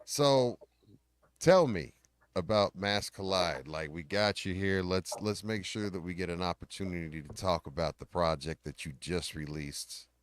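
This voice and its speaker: A man's voice: low-pitched, unhurried, smooth. A man hosting a podcast interview, casual and hyped, with street-slang banter. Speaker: podcast host.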